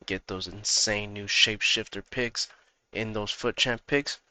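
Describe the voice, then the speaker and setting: A man hosting a gaming channel, walking viewers through his tactics in a casual, rambling way that repeats itself, his voice slightly hoarse from being sick. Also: fairly low pitch, steady tone, a little slow, pushed and bright. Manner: rambling, repeats himself, talks straight to viewers.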